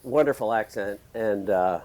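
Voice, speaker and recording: Raspy-voiced chatty male podcast host. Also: on the low side, normal speed, a little mellow. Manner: chatty, rambling, laughs often. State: raspy voice from screaming at a concert. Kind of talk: casual interview chat.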